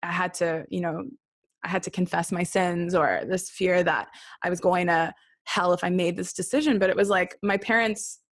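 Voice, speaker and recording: A woman's voice: lowish voice, fairly even intonation, moderate pace, dry recording, studio quality. A woman from Australia, raised partly in Israel, telling personal stories casually and candidly as an interview guest. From born in Australia, raised in Israel and Brisbane. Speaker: podcast guest, singer-songwriter.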